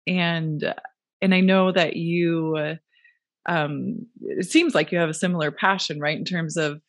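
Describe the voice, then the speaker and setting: A woman's voice: low voice, fairly even intonation, unhurried, no room sound, clean studio sound. A woman hosting a podcast, chatting casually, friendly and affirming, thinking aloud as she frames her questions. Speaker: podcast host. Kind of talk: casual interview chat.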